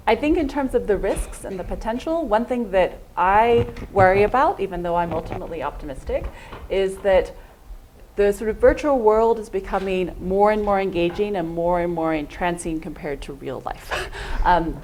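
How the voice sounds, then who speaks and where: A woman's voice: lowish voice, lively intonation, normal speed, darkish tone, a little hiss or hum, poor audio. A woman giving a thoughtful conference talk, often hedging with "I think" and "um". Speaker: panel speaker.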